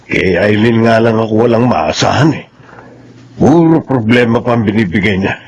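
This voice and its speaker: A man's voice: fairly low pitch, normal speed. An actor with a Filipino accent playing a stern, domineering middle-aged father and doctor in a radio soap, scolding and mixing in English. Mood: harsh, dismissive. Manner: harsh, scolding, code-switches Tagalog with English.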